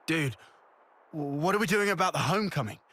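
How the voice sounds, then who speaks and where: A man's voice: fairly high pitch, animated, brisk, sharp tone. An actor playing a brash, impatient young fighter in a video game, blunt and casual in his talk. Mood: confused, agitated. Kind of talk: video game cutscene voice acting.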